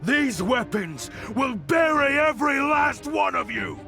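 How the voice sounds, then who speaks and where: A man voicing a grim, vengeful character in a video game, cold and resolute, with a measured, sardonic menace. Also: very high voice, wildly swooping pitch, over music, heavily acted. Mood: desperate fury.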